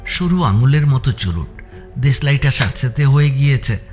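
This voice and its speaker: A man's voice: medium pitch, animated, moderate pace, a little mellow, soft background music. A man reading scripted story narration as an audiobook narrator.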